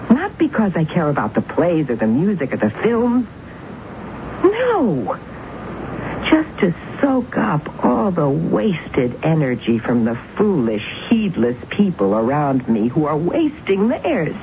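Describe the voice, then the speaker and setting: A woman's voice: deep voice, huge pitch swings, dark tone, exaggerated voice. An actress playing a young woman convinced of her psychic powers in a 1970s radio drama, grandiose and self-absorbed, with dramatic, emphatic delivery. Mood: fervent, grandiose. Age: young adult. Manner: dramatic, emphatic, deliberate repetitions. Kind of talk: 1970s radio drama acting, dialogue and confiding narration. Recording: tinny, noisy 1970s radio broadcast recording.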